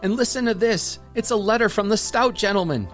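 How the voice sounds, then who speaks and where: A man's voice: sits high, fairly quick, faint music behind, voice pushed up, natural voice. A man voicing a loyal, kindly tram driver in a storybook, speaking in a heartfelt way. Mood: excited.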